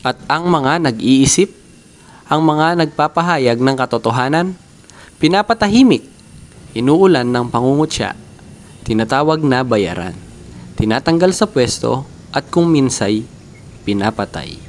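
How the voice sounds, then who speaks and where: A man playing a misunderstood old philosopher in a grave, deliberate, oratorical dramatic monologue. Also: medium pitch, expressive, natural voice. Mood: impassioned, reproachful. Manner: oratorical, deliberate, rhetorical questioning. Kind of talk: theatrical dramatic monologue in Filipino.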